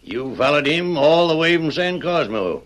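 A man playing a stern, commanding sheriff in an old-time radio western, forceful and triumphant. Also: a little high, lively intonation, normal speed.

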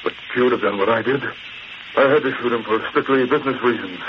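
Actor as smooth, menacing crime boss, old radio. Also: male voice, medium pitch, close to an everyday voice. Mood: smooth, wheedling. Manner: mock-polite, sardonic, unhurried threats. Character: fat, smooth-talking older male crime boss, menacing and wheedling. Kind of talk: 1940s radio drama acting. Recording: muffled, noisy old radio recording.

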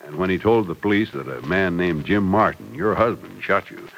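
A man's voice: low voice, normal speed, vocal fry. An actor playing a kindly, fatherly confidant in a 1940s radio soap opera, thoughtful and soothing in manner. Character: kindly, fatherly male confidant, calm and reassuring. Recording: noisy old radio broadcast recording.

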